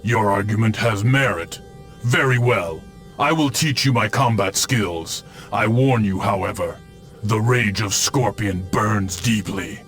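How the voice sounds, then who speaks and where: An actor voicing a vengeful undead ninja specter, stern, menacing and formal, in a video game cutscene. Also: male voice, lowish voice, a little slow, fairly bright tone. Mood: grim, menacing. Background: music.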